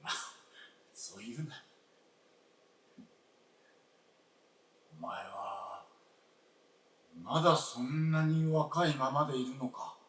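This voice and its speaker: Slow, grieving old husband in radio drama. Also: male voice, mid-range voice, a bit bright. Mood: subdued, wistful. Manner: halting, heavy with emotion, falling to near whispers. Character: grieving, regretful old husband talking to his late wife. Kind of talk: live stage radio drama acting. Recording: roomy stage, distant mic, faint noise.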